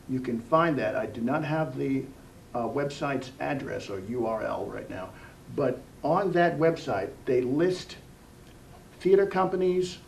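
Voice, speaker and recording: Creaky-voiced male interviewer, off mic. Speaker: interviewer, TV program host. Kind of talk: local cable interview. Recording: distant mic, faint rumbling noise.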